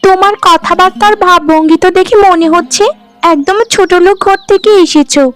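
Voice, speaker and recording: A woman's voice: extremely high pitch, fairly even intonation, moderate pace, soft background music. A woman voicing a spiteful, bossy young sister-in-law in a cartoon, scolding and taunting haughtily. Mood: indignant. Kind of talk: Bengali cartoon dialogue acting.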